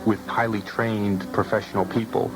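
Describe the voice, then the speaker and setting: A man's voice: on the low side, flat delivery, normal speed, music in the background, rough recording. A man speaking as a company president in a radio advert testimonial.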